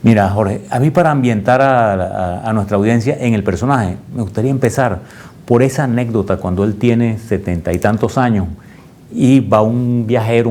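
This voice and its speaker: A man hosts the show in a friendly, guiding way. His voice is low and mellow, and he prompts his guest to tell the next part of the story. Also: a little hiss or hum. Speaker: host. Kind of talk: hosting a history talk show.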